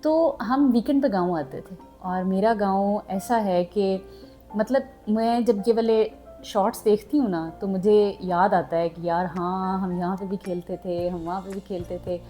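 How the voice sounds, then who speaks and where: Young woman vlogger; relaxed chatty voice-over, mellow timbre. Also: medium pitch, a little slow, soft background music. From Pakistan, southern Punjab. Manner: chatty, mixes in English words, frequent fillers. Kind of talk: casual chat to subscribers.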